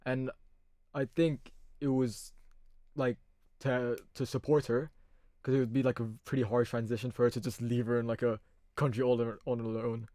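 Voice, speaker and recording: A man's voice: mid-range voice, flat delivery, normal speed, dark tone, no echo, clean studio sound. A young man with an American-leaning international school accent, a podcast guest chatting casually and hesitantly, restarting his sentences. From Hong Kong. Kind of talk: casual chat.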